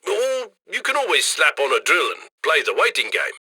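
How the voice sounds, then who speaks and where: Video game criminal handler: upbeat, cocky male, high-set and forceful, very dry close sound, slick conversational mission directions. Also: big pitch swings, normal speed, husky, dry recording, heavily acted. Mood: confident, instructing. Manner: slick, conversational, egging the crew on.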